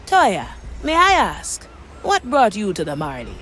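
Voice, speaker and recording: An actress playing a confident, plucky female pirate recruit in a video game, with a brisk, wry delivery. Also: medium pitch, huge pitch swings, a bit bright, a little hiss or hum. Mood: curious.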